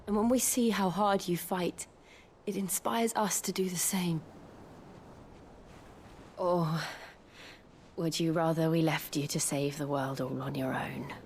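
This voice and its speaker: An actress playing a steadfast, quietly strong female companion to a hero in a video game, measured and earnest. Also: sits low, fairly even intonation, slight background noise. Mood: tender, earnest. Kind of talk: dramatic video game cutscene acting.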